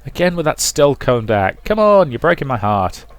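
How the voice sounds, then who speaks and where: A man's voice: mid-range voice, sing-song, normal speed, pitched above the performer's own voice, close to an everyday voice. A man voicing a playful, lovestruck young man who woos earnestly in a visual novel reading. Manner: earnest, teasing, wooing. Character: eager, playful lovestruck young man courting a shy girl.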